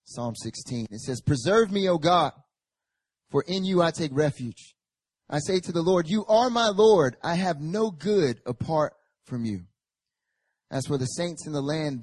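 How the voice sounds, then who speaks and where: Young male pastor, hoarse and raspy, relaxed joking turning to loud fervent prayer, dry close sound. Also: mid-range voice, no room sound. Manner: casual and joking, rising to loud fervent Scripture reading and prayer. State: hoarse, recovering from a chest infection. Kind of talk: sermon. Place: church service.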